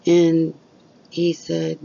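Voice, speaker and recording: A woman's voice: sits low, monotone, extremely slow, creaky, warm tone, lo-fi sound, a little hiss or hum. A woman vlogging candidly about chronic illness, blunt and open, swearing freely while losing her voice. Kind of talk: candid casual vlog talk.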